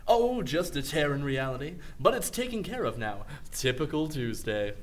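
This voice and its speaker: Man as a breezy, animated, high-voiced hero. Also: moderate pace. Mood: breezy, reassuring. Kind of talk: superhero comedy radio drama acting.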